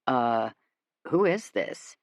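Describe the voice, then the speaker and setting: A groggy man, as a woman reader puts him on, just woken and dodging the caller on the phone. He speaks low and dismissive, with a dry, close sound to his voice in this audiobook scene. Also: expressive, very slow, gravelly, dry recording, full character voice. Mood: puzzled.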